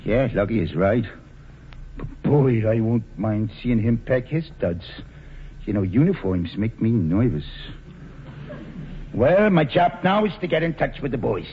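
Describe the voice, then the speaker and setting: An actor playing a brash, wisecracking ex-convict bootlegger boss in slangy 1940s radio drama style. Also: male voice, mid-range voice, very animated, measured pace. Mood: cocky. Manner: slangy, wisecracking tough-guy talk. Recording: dull, noisy vintage radio recording.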